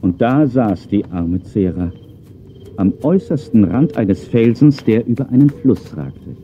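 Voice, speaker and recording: A man narrating a children's audio play as a dramatic, animated storyteller. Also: on the low side, unhurried, slight background noise. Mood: suspenseful. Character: animated male storyteller of a children's dinosaur tale. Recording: dull cassette-era audio play recording.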